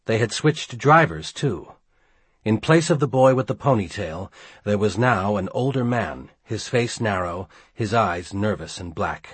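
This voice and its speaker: A man narrating a thriller audiobook in a measured, dramatic storytelling manner. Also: lowish voice, animated, breathy edge, no room sound. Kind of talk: scripted narration.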